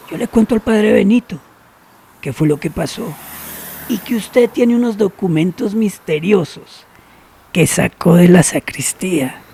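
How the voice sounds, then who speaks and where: A man's voice: sits high, creaky, warm tone, a little hiss or hum, full character voice. An actor playing a sly, prying middle-aged seminary doorman in a radio drama, ironic and teasing.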